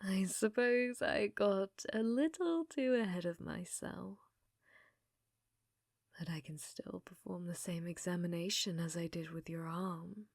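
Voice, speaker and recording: A woman playing an obsessive, flirtatious scientist in an intimate ASMR roleplay, with soft breathy speech and precise clinical phrasing. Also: on the low side, a little slow, no room sound, clean studio sound. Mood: fascinated, fondling. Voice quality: soft, breathy, often whispered.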